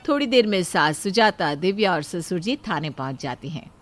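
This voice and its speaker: A woman's voice: on the low side, lively intonation, moderate pace, a bit bright, faint music behind. A woman narrating a scripted moral story as its storyteller.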